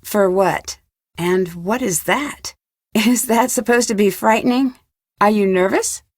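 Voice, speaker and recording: A woman's voice: medium pitch, takes it slowly, a little mellow, no room sound, clean studio sound. A woman playing a curious, composed young female detective in an adventure game, asking direct and unflinching questions.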